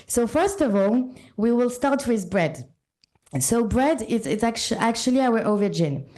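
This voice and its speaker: French-accented woman, kinda high voice but mellow, lots of ums, talking to a conference room. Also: animated, a little slow. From born in Sri Lanka, French and Italian parents. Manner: frequent ums and uhs, restarts sentences. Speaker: conference speaker. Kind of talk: short conference talk with a food metaphor. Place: conference room with a live audience.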